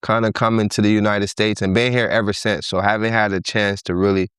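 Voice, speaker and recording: Low-voiced relaxed man from Liberia. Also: brisk, clean tone, dry recording, studio quality. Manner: laid-back storytelling, laughs easily. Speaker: podcast guest. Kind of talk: casual interview conversation.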